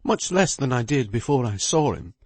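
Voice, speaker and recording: A man's voice: mid-range voice, very animated, no echo, voice pushed up. A man voicing a busy middle-aged lawyer who speaks in a precise, emphatic way. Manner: precise, emphatic, talks quickly.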